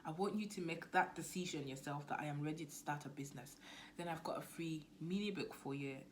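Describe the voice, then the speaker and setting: A young woman with a Nigerian accent talking casually to camera as a business coach, lively and now and then dropping to a near-whisper. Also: sits low, fairly quick, slight background noise. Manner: chatty, animated, drops to near-whisper asides.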